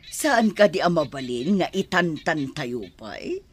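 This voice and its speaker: A woman playing a proud, fussy middle-aged mother in a radio drama, with an Ilocano accent and drawn-out, expressive delivery. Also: deep voice, a little hiss or hum. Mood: disapproving.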